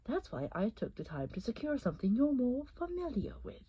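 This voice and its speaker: A woman voicing a scheming, fawning male scientist villain in a theatrical, flowery cartoon style, with a breathy, husky voice. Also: fairly high pitch, big pitch swings, brisk, mellow, highs cut off. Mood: smug, explanatory.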